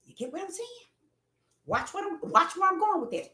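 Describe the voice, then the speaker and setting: A woman preaching a livestream sermon in a repetitive, preachy manner that swells into fervent shouts. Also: very high voice, sing-song, brisk, reverberant.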